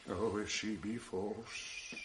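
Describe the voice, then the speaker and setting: A tormented older general: low, unhurried classical verse in a radio drama, weighty with bursts of anguish. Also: male voice, fairly even intonation, a bit bright, slight background noise. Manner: brooding, slow and weighty, flaring into anguish. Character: proud tormented general, jealous husband.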